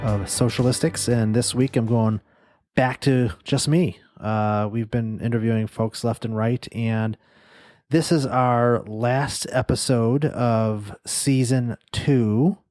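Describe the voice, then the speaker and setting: A man's voice: medium pitch, a little mellow, dry recording. A man with a nasal voice hosting a solo podcast, talking casually and rambling with many fillers and restarts into a studio microphone. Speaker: podcast host and agency owner.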